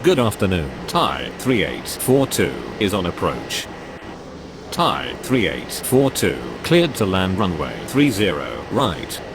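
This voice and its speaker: A man's voice: deep voice, huge pitch swings, slow pace, exaggerated voice. A man playing a formal, procedural air traffic radio operator, speaking in clipped aviation phraseology. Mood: businesslike. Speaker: air traffic radio voices, controller and pilots.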